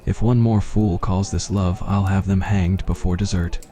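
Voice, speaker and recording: Man acting arrogant young prince, deep and dark-toned, husky, cold menacing murmur, audio roleplay. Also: steady tone, normal speed, lightly acted. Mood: cold threat.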